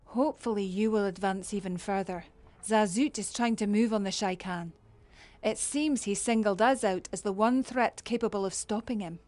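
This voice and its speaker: A woman's voice: medium pitch, moderate pace, darkish tone, close to an everyday voice. A woman playing an earnest, dutiful warrior in a fantasy video game. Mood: grave briefing.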